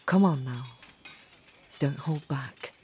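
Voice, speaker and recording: A woman playing a stern but caring commander of a knights' academy, speaking formally and with measured authority in a fantasy audio drama. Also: a bass voice, dark tone, a little hiss or hum. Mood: composed, commanding. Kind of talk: scripted fantasy audio roleplay.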